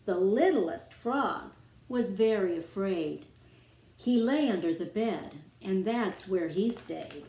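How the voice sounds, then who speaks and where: A woman reading a rhyming children's story aloud as its author, with expressive, dramatic phrasing aimed at young listeners. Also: mid-range voice. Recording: roomy, echoing room sound.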